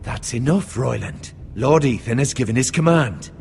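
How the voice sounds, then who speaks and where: A man's voice: medium pitch, expressive, moderate pace, gravelly, a little mellow, slight background noise. A man playing a pragmatic, cautious counsellor loyal to his lord in a video game drama, firm and formal. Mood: indignant.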